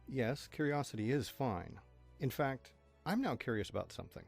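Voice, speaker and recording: A man's voice: medium pitch, lively intonation, moderate pace, vocal fry, a little mellow, soft background music. A man playing an inquisitive, self-assured, suspicious pony in an audio drama. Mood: probing.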